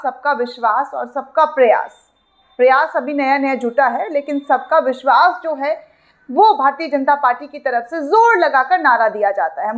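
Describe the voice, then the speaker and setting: A woman presenting opinionated news commentary in an emphatic manner, repeating phrases and posing rhetorical questions. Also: sits high. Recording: dull, lo-fi sound.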